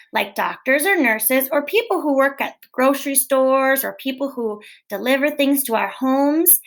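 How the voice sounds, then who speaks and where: Teacher reading a kids' book aloud; woman, high and bright-toned, gentle and expressive delivery. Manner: gentle, expressive storybook reading with small self-corrections. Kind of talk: children's read-aloud.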